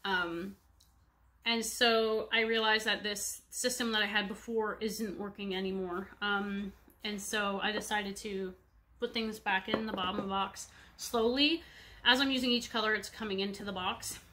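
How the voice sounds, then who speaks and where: A woman's voice: medium pitch, steady tone, unhurried, bright, forceful tone, slight background noise. A woman in her thirties with a congested, stuffy nose, chatting casually to viewers from a home room, rambling with many fillers. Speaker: cross-stitch vlogger. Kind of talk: casual show-and-tell chat. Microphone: webcam at desk distance.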